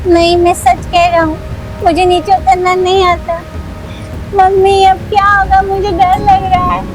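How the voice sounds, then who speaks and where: A woman's voice: very high voice, little pitch movement, unhurried, faint music behind, rough recording. A woman voicing a boastful but easily scared little boy in a children's toy cartoon. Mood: frightened.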